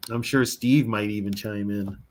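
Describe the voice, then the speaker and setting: A man's voice: lowish voice, steady tone, normal speed, a little hiss or hum. A man co-hosting a casual live stream, chatty, laughing easily and talking to the viewers in the chat. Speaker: co-host of a fan podcast.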